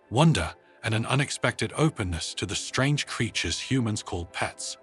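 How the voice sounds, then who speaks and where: A man's voice: medium pitch, a bit bright. A man reading a scripted story as its narrator.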